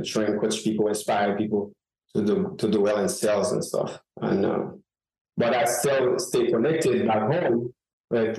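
Halting man from Haiti, echoey call. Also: lowish voice, dark tone. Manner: halting, many fillers and restarts. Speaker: guest journalist and author. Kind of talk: interview answers. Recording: echoey remote call audio.

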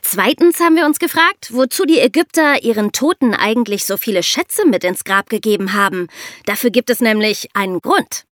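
A woman's voice: on the high side, lively intonation, moderate pace, dry recording, studio quality. A young woman co-hosting a podcast, presenting scripted narration and explanations for young listeners. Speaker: podcast co-host. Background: music.

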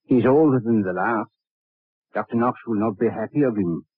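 An actor playing a cold-blooded, calculating body seller in an old radio drama, speaking with slow insinuation. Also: male voice, on the low side, husky, no echo. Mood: impatient, scheming. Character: cold-blooded, scheming poor body-seller turned murderer. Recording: muffled, phone-like vintage radio recording.

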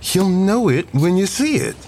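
Lively, easygoing male muralist. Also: sits high, a little slow, a little hiss or hum, exaggerated voice, low-quality recording. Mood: easygoing, teasing. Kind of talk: audio drama dialogue.

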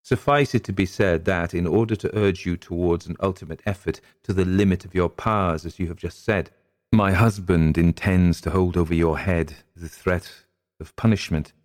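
Man voicing an anguished, pleading gentlewoman. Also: sits low, steady tone, normal speed, natural voice. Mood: bitter, anguished. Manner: formal, imploring, melodramatic period diction. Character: anguished young gentlewoman, genteel, pleading, dreading a cruel husband. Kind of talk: dramatic audiobook character voice.

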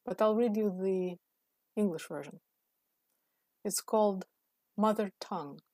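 Slow, deliberate woman reading poetry. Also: medium pitch, a little mellow, no room sound, studio quality. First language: Russian. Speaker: poet.

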